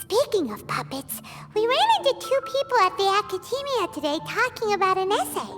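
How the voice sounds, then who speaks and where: A woman voicing a tiny excitable girl companion creature who speaks of herself in the third person, in a video game scene. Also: brisk. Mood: chatty. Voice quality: squeaky high voice. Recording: loud game music under voice. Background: game background music.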